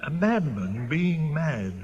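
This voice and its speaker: A man's voice: on the high side, very animated, takes it slowly, a little mellow, muffled recording. An actor playing an earnest, anxious village clergyman in a British radio drama, speaking formally and insistently. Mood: urgently insistent.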